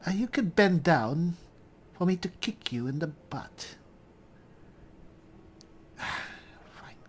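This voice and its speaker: A man's voice: fairly high pitch, animated, slow, slightly rough. A man roleplaying through a fantasy game in casual commentary, with dry, wry, offhand replies. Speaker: gamer roleplaying as an elf spellsword.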